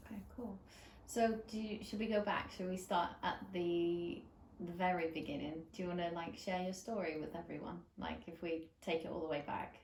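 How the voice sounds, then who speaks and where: Woman interviewer, lowish voice, encouraging, heard off-camera in a roomy space with light hiss. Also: fairly even intonation, moderate pace, hardly any pauses. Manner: soft, encouraging, loose phrasing. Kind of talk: gentle interview questions. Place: room off-mic behind the camera.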